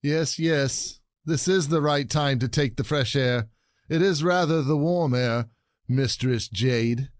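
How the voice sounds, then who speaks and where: An actor playing a jealous, gloating peasant husband in a classic comedy audio play, sardonic and declamatory. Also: male voice, mid-range voice, takes it slowly, no echo, clean studio sound, lightly acted. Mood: gloating triumph.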